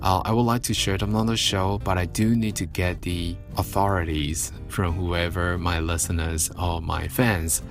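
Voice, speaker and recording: A low-voiced man chatting on his evening radio show from a studio mic, rambling and joking at his own expense. Faint music plays quietly behind him. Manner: chatty, self-mocking, rambling. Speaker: radio host. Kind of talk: casual solo radio talk.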